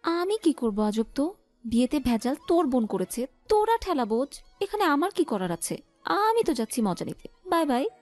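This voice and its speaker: A woman's voice: high voice, very animated, moderate pace, a little mellow. A woman playing a cheeky, whiny young best friend in an audio story, given to playful, exaggerated complaining. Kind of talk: Bengali audio story acting. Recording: music behind the voice. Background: music.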